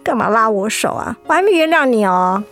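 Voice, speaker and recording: An actress with a Taiwanese accent playing a blunt, softhearted young woman who nags her best friend in a radio drama. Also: fairly high pitch, big pitch swings, fairly quick. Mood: scolding, hurt. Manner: blunt, nagging, exasperated. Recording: music behind the voice.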